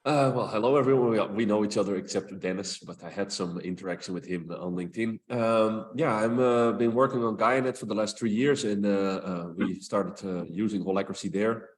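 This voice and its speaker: Deep-voiced man on a video call. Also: steady tone, a little fast, few pauses. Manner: hesitant, earnest. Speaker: meeting participant. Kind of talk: casual online introduction.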